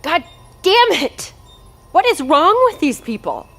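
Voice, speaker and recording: A woman's voice: high-pitched, big pitch swings, measured pace, a bit bright, soft background music, heavily acted. Woman acting haughty, callous scientist. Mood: angry, exasperated. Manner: haughty, cutting, emphatic. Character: arrogant, callous, impatient female research doctor in charge. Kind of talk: scripted audio drama dialogue.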